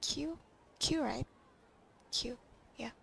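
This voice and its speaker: A woman's voice: sits high, very slow, vocal fry, fairly bright tone, husky, poor audio. A woman giving casual gameplay commentary, with informal, dry asides. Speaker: gamer commentator. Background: game music.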